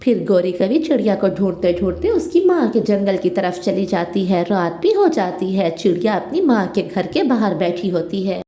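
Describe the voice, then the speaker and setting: A woman's voice: mid-range voice, very animated, moderate pace, few pauses, dark tone. A woman narrating a scripted children's story as its narrator, with a roomy echo.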